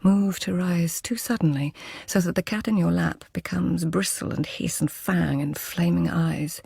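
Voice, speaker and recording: An actress playing a proud, witty lady-in-waiting of tenth-century Japan in a radio drama, hushed and measured, with formal poetic phrasing. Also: on the low side, fairly even intonation, exaggerated voice. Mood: incantatory, intense. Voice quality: breathy, hushed. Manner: measured, courtly, pointed.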